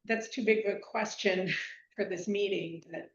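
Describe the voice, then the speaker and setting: A woman's voice: mid-range voice, steady tone, moderate pace. A chatty woman volunteer speaks up at a neighborhood meeting and laughs lightly between her questions. The room is echoey and the sound is a little dull. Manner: chatty, laughs while talking. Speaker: mutual aid volunteer.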